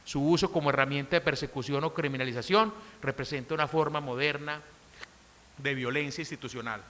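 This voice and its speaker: A middle-aged woman from Colombia with a Colombian accent, giving a measured, emphatic formal lecture on criminal law at a conference. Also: low-pitched, fairly bright tone. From Colombia, coffee region. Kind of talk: formal academic lecture, partly read from a text. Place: large conference hall. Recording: reverberant conference hall.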